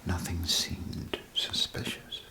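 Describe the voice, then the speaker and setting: A man performing a whispered ASMR role play as an attentive, apologetic doctor, murmuring in a hesitant, unhurried way. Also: poor audio. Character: apologetic, attentive male vault doctor tending battered patients.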